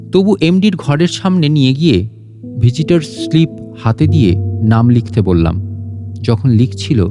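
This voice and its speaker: A man reading a literary story aloud in an expressive, measured, dramatic way. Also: medium pitch. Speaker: audiobook narrator and story channel host. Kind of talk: dramatic first-person literary story narration. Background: soft plucked-string instrumental music.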